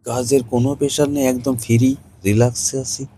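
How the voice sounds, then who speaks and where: Man, dark mellow voice, average pitch, cajoling colloquial phone chat. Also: level tone, fairly quick, a little hiss or hum. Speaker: caller in a staged phone conversation. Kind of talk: casual flirtatious phone chat.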